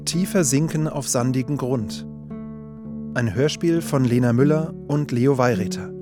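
A man's voice: medium pitch, a little slow. A man reading a scripted title announcement for a radio drama. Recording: plucked guitar music behind. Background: guitar music.

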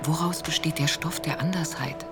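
An actor voicing a hushed, probing questioner in a radio play, whispering deliberately. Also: mid-range voice, fairly even intonation, loud music behind the voice, natural voice.

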